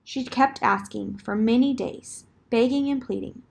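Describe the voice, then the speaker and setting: Female storybook narrator, fairly high pitch, husky edge, deliberate and expressive delivery for children.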